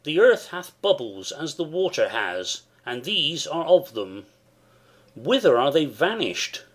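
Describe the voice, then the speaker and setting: A man's voice: a little high, very animated, slow pace, exaggerated voice. A man playing a noble, questioning soldier, declaiming in a dramatic Shakespeare reading. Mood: puzzled.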